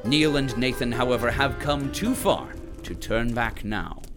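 A man's voice: mid-range voice, lively intonation, a little slow, over music, lightly acted. A man voicing a formal, wordy narrator in an audio drama, speaking in an ornate, mannered way. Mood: grandiose.

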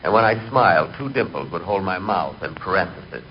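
A man's voice: low-pitched, lively intonation, brisk. A man acting a timid, neurotic and comically vain storyteller in 1940s radio comedy. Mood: deadpan, confiding. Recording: muffled, hissy 1940s radio recording.

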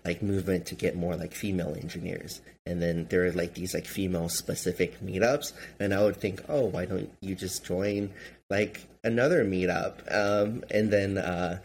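A man's voice: low voice, steady tone, moderate pace, a little mellow, slight background noise. A man whose first language is Chinese, answering interview questions casually with many fillers and restarts. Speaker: guest, gun group member.

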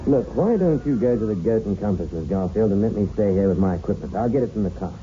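An actor playing a brisk, rational psychic researcher in a 1960s radio horror play, matter-of-fact and inquisitive. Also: male voice, on the low side, expressive, warm tone, soft background music, natural voice. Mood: probing. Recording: dull, faintly noisy old radio recording.

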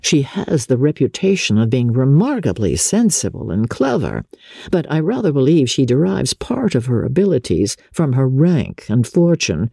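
A woman plays a well-mannered young gentleman in a storybook reading, confiding and quietly critical. The delivery is measured, in a mellow, husky voice recorded dry and close. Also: rumbling low, no room sound, heavily acted.

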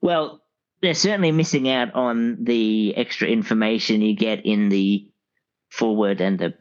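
Halting man, dull lo-fi sound. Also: on the low side. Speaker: audiobook expert guest. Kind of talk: casual conversational review.

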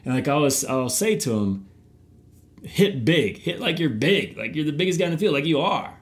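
A man's voice: on the high side, sing-song, normal speed. A middle-aged man, host of a podcast and youth baseball coach, talking casually and animatedly, often repeating words as he starts a thought.

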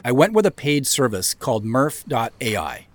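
A man's voice: medium pitch, sing-song, normal speed. A man hosting a director's commentary, explaining his work as an audio drama creator.

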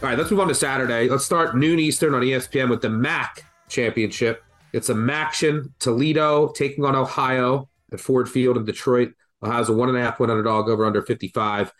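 A man's voice: medium pitch, fairly even intonation, a little fast, few pauses, a bit bright, faint music behind. A man with a congested, stuffed-up voice from the flu, rambling through college football betting picks as a podcast co-host. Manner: rambling, thinks aloud with restarts. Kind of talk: casual betting analysis chat.

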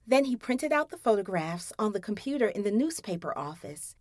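A woman's voice: medium pitch, big pitch swings, a little fast, a little mellow, no room sound. A woman reading a storybook, voicing a wry, sassy teenage girl with a dry, teasing way of talking. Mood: earnest.

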